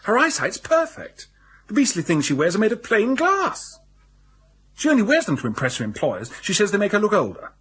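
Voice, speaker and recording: Glum, animated young English writer. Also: male voice, high voice, a little fast, a little hiss or hum, heavily acted. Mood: aggrieved. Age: young adult. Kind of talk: radio drama acting.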